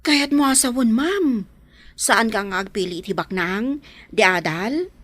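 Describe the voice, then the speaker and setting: High-voiced teasing big-sister confidante. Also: female voice, lively intonation, unhurried, fairly bright tone, no room sound. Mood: earnest. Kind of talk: Filipino radio drama acting.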